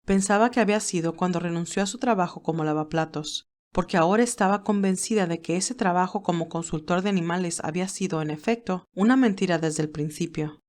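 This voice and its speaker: A woman's voice: on the low side, fairly quick, few pauses, darkish tone. A woman reading scripted story narration as an audiobook narrator.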